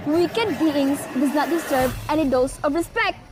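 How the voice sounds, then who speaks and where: A woman's voice: high-pitched, measured pace. A woman playing an alert young student passing on urgent messages in an amateur radio drama. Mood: defiant.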